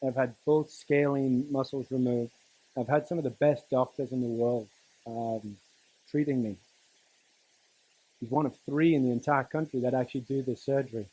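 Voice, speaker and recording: Middle aged guy, giving a personal motivational talk, kinda halting and chatty, dry humour. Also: medium pitch, a little mellow, rough recording. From Newcastle, northern England. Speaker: teacher and coach giving a speech to students.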